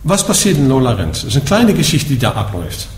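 A man's voice: mid-range voice, huge pitch swings, quick pace. A man from the Netherlands with a Dutch accent, teaching Buddhism in German, explaining patiently and checking in with "ja?" after each point. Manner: explaining, asks "ja?" after nearly every point. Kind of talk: dharma talk to a group. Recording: echoey room with faint background noise.

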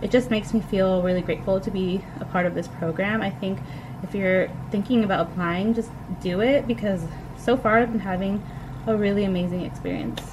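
A young woman talks straight to her camera in a small dorm room, cheerful and chatty, leaning on "really" as she goes. Her voice sits at an ordinary middle pitch. A steady hum of room noise runs under her. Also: fairly quick. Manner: upbeat, chatty, says "really" often. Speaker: vlogger, new English teacher. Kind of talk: casual vlog update to camera.

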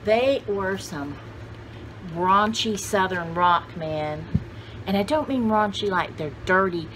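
Slow-talking older woman, noisy room. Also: medium pitch. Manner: folksy, exclamatory, talks to herself and viewers. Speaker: vlogger showing vintage finds. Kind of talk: casual rambling chat to camera. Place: cluttered indoor room with shelves.

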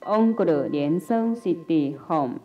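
A woman's voice: fairly low pitch, expressive, over music, poor audio. A woman emceeing a temple gathering, calling people up and leading the chanted visualization. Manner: brisk directing prompts, then slow liturgical chanting. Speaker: ceremony emcee.

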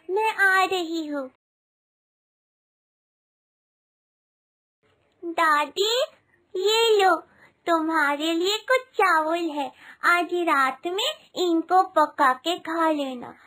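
Very high little village girl. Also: fairly bright tone, heavily acted. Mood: kind, reassuring. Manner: innocent, earnest, slow. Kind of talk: Hindi cartoon moral story acting. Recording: music behind the voice.

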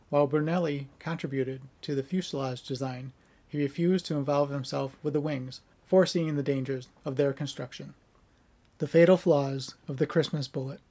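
A middle-aged man in a home room with bookshelves, speaking with a somewhat monotone delivery, first chatting casually, then reading scripted history narration. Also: a little high. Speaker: history video creator.

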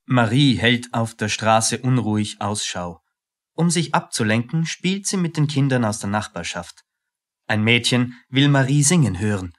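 A man's voice: mid-range voice, lively intonation, a little slow. A man narrating a scripted radio play in a sober, matter-of-fact manner.

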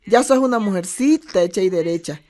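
A woman's voice: medium pitch, expressive, a little fast, mellow, lightly acted. A woman playing a folksy, affectionate elderly Bolivian grandmother in a radio drama. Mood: tender, doting. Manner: affectionate, folksy, uses many diminutives.

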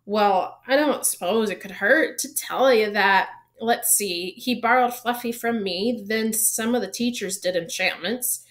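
A big, bearded gamekeeper from a storybook, voiced by a woman reader. He is friendly and proud, open to flattery, and talks in a blunt, homely, informal way. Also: medium pitch, a little slow, hardly any pauses, lightly acted. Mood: chatty, flattered. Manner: folksy, informal, blurting. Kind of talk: audiobook character reading.

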